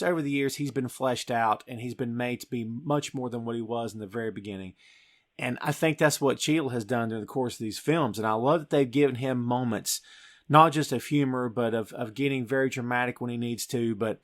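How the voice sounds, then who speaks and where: A middle-aged American man hosting a podcast, talking about film in a casual, emphatic, conversational way. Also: medium pitch. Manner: emphatic, conversational, addresses listener as "dude". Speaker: podcast host.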